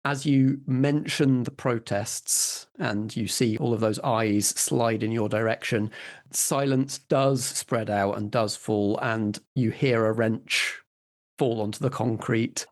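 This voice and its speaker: A man's voice: mid-range voice, fairly even intonation, takes it slowly. A man narrating and refereeing a tabletop roleplaying game as game master.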